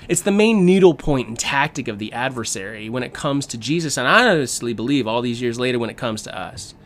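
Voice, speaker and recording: Male preacher, middle-aged, mid-pitched voice, delivering an emphatic sermon with dramatic repetition. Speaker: pastor preaching.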